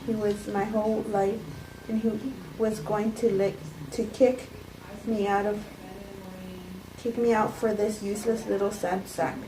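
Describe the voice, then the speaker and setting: A woman reads as a self-justifying woman who calmly confesses to poisoning. Her delivery is halting, like a cold script read. She sounds distant in an echoey room, with clear noise behind her. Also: medium pitch, close to an everyday voice. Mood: defensive. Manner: halting, stumbling amateur reading. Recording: echoey room, distant mic, noisy background.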